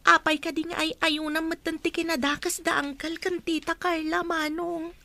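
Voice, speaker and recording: Woman acting earnest, very high-voiced young woman. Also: level tone, normal speed, gravelly, pushed and bright, exaggerated voice. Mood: pleading, defiant. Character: young captive heiress Eva, pleading, hopeful, dutiful niece. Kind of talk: Ilocano audio drama acting.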